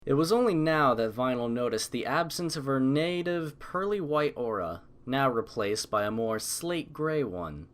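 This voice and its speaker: A man's voice: mid-range voice, expressive, moderate pace, hardly any pauses. Man narrating a story.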